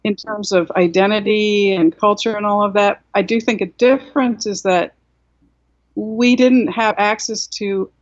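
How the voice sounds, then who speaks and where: A woman's voice: mid-range voice, steady tone, measured pace, dry recording. A woman in her sixties, raised in South Korea, reflecting at length as a guest author on a video call, with many "you know"s and restarts. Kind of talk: casual interview on a video call. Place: home room indoors. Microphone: laptop webcam mic on a video call. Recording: dull, dry video-call audio.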